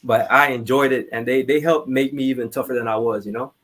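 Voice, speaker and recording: A man chats as a podcast guest, his voice at an average pitch. He tells his stories in a candid, informal way, with casual swearing, like a veteran among friends. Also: fairly even intonation, a little fast, a bit bright. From Trinidad. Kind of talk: casual conversational storytelling.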